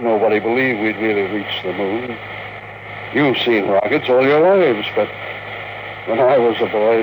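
An elderly, wealthy dreamer with a mid-pitched voice played by a male actor in a classic radio drama. He is wistful and set on his dream. The recording is muffled, with heavy noise over it. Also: close to an everyday voice. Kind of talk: old-time radio drama acting.

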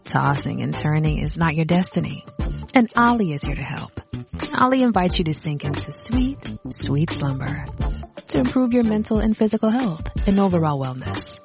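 A woman gives a soothing scripted ad read. Her voice is on the low side with plenty of vocal fry, and music sits behind it. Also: expressive, normal speed. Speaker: commercial voice-over.

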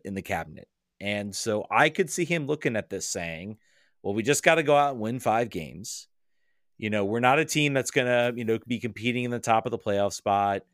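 A man's voice: medium pitch, animated, moderate pace, a bit bright, dry recording, studio quality. A man talking as a podcast guest in casual chat, hesitant and often repeating words as he thinks aloud.

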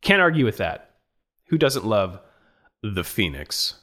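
Lively, bright-voiced male podcast host. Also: lowish voice, a little slow. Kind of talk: upbeat podcast presenting, reading lists with casual commentary.

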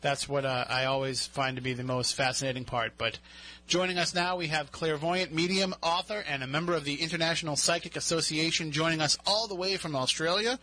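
A man's voice: fairly high pitch, brisk, bright, forceful tone. A man with a Boston accent hosting a radio show, chatting casually and joking with a guest. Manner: chatty, jokey, laughs often. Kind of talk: casual interview chat. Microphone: studio mic.

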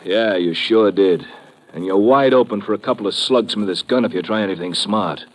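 An actor playing a guarded, tough American army lieutenant in a 1950s radio drama, talking in terse slang. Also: male voice, fairly low pitch, moderate pace, natural voice. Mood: menacing.

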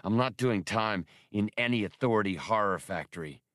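A man's voice: on the low side, normal speed, a bit bright, dry recording, clean studio sound, close to an everyday voice. A man reading an audiobook, playing a roguish outlaw pilot who talks in terse, challenging bursts. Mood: defiant. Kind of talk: audiobook character dialogue.

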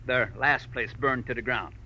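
An actor playing an exacting middle-aged millionaire mine owner in a 1940s radio drama, emphatic and deliberate in his phrasing. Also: medium pitch, pushed and bright. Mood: businesslike. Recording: dull, hissy old radio recording.

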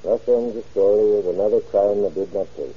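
A man's voice: on the low side, a little fast, husky. A man playing a stern, blunt police chief in a 1930s radio crime drama. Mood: solemn, formal. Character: stern, sardonic police chief questioning a suspect. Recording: muffled old radio recording.

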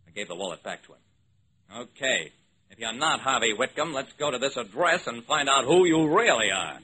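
A man's voice: mid-range voice, expressive, moderate pace, bright, forceful tone, breathy edge. An actor playing a wry, level-headed investigator, narrating his case in a clipped, matter-of-fact way in an old-time radio drama. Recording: noisy old radio recording.

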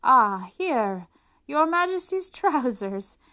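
A woman voicing scheming male swindlers who flatter in slow, drawn-out tones. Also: high-pitched, voice pushed up, exaggerated voice.

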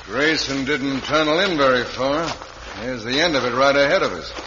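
A man's voice: medium pitch, moderate pace, lightly acted. An actor playing a villain's callous henchman with an American accent in an old radio western. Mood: conniving. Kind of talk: 1950s radio western acting. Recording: dull, noisy old radio recording.

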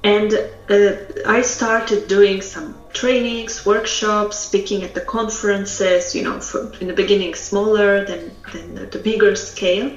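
A woman from Russia speaks, unhurried and reflective, pausing often as she tells her story. Her voice sits in a roomy, echoey space, on a dull, lo-fi recording. Faint music plays underneath. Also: medium pitch, fairly even intonation. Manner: slow and thoughtful, with many hesitations and soft laughs. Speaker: public speaking trainer. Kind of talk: interview guest telling her story.

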